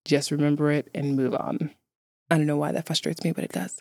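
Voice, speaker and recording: A woman's voice: sits low, steady tone, a little fast, a little mellow, dry recording, studio quality. A woman who is a native English speaker, chatting casually to viewers as a vlogger in a wry, candid, self-deprecating way.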